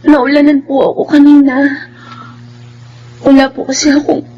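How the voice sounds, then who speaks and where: Radio drama casting: vulnerable young Filipino woman, meek and plaintive, extremely high voice. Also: little pitch movement, moderate pace, dull audio, faint music behind. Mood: tearful, ashamed. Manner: plaintive, emotional.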